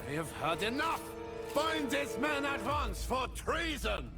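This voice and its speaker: A self-serving, haughty king in a video game. His voice is high for a man and swings theatrically in pitch. He sounds pompous, with music clearly behind him. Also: big pitch swings, takes it slowly, full character voice. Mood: furious. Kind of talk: dramatic video game acting.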